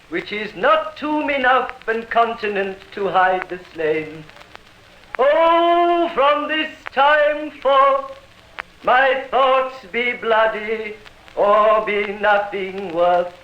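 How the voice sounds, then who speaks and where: An actor playing a sly master criminal posing as a gentleman in a radio drama, florid and mock-courteous. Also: extremely high pitch, slow, lo-fi sound. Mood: grandly declaiming. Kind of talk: 1950s radio drama acting. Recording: faintly noisy old radio recording.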